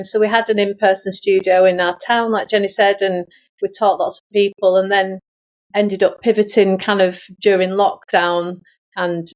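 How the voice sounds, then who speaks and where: Middle-aged English woman, interview guest, medium-pitched voice, informal, chatty delivery with loosely hedged storytelling. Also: a little mellow. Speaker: guest, sewing teacher. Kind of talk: casual conversational storytelling.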